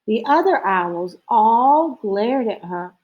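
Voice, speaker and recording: A woman with a fairly high voice reads a children's story aloud. She goes very slowly, stretching out phrases with expressive storytelling delivery. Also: dull audio. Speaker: storybook reader.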